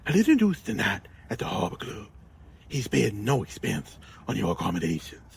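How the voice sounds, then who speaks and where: An actor playing a polite, nervous gangland underling with a husky, gravelly voice in an audio drama. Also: male voice, on the low side, wildly swooping pitch, moderate pace, slight background noise, heavily acted. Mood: hesitant, polite. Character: deferential, nervous gangland underling and driver, loyal to his boss.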